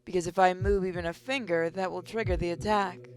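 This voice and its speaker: A narrator reading visual novel text aloud in a measured, dramatic way over game music. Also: mid-range voice, steady tone. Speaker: gaming channel narrator.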